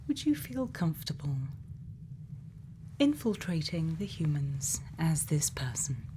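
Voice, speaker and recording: Slow, whispery ASMR woman. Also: sits low, sing-song, slight background noise. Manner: slow, hushed, deliberate, with thoughtful pauses. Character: gentle, caring female scientist tending a robot. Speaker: ASMR creator. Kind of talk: ASMR roleplay, softly spoken and whispering.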